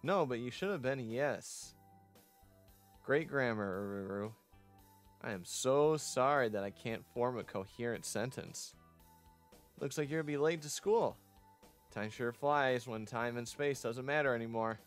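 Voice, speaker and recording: A man's voice: medium pitch, unhurried. A man reading visual novel dialogue aloud as a streamer, acting out the lines and now and then stumbling over words. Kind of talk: dramatic read-aloud of game dialogue. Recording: music behind the voice. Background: music.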